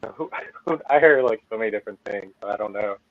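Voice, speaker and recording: A man's voice: fairly low pitch, quick pace, no room sound, highs cut off. A man with a Maine accent, chatting casually as a podcast guest over a video call, rambling with lots of fillers and laughing at himself. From Maine, USA. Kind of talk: casual chat.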